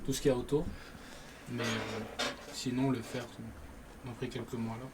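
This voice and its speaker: A young male rapper talks about his music in a loose, easygoing way. His voice sits at a medium pitch. Steady noise is clearly heard under his voice. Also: moderate pace. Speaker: guest rapper. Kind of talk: casual interview chat.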